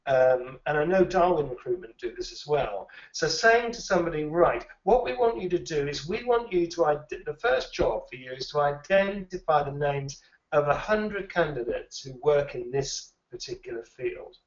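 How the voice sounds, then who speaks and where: A man, a recruitment trainer, answers questions at an easy pace and circles back on his words as he thinks. His voice sits a little high for a man. It is recorded from a distance in an echoey room, with a dull, muffled sound. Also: lively intonation, moderate pace. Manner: thoughtful, repeats words while thinking. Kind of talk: conversational interview answers.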